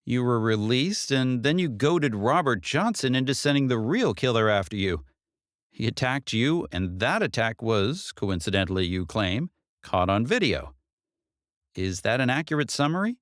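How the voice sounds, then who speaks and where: Audiobook police detective, female played by a man: raised pitch, lively inflection, measured and concerned. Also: no room sound, clean studio sound, close to an everyday voice. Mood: probing. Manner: measured, earnest, official questioning.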